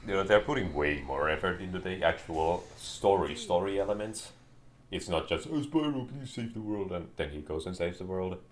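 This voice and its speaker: A man's voice: low-pitched, expressive, normal speed, slight background noise. A man joking sarcastically as he comments on a game he is playing. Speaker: streamer. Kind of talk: gameplay commentary.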